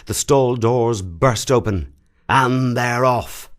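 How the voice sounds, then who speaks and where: A man reading a literary short story as a scripted narration, in a measured, dramatic storytelling delivery, recorded in a studio. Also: medium pitch.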